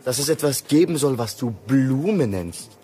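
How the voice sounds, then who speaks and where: An actor playing a sceptical, impatient grandson in a radio drama, speaking in a dismissive, emphatic way. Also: male voice, medium pitch, animated, unhurried, warm tone, soft background music, natural voice. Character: sceptical, impatient grandson living in a dark freight wagon.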